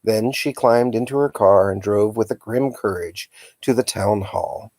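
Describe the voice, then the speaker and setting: A man reading a children's novel aloud as a storyteller, with a dramatic, expressive delivery. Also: on the low side, measured pace, no room sound. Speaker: storytime reader.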